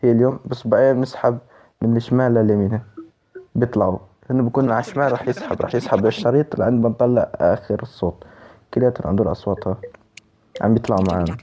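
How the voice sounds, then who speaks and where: A man's voice: mid-range voice, racing, warm tone, slight background noise. A man with a Levantine Arabic accent giving a casual spoken app walkthrough, repeating instructions step by step. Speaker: tutorial presenter.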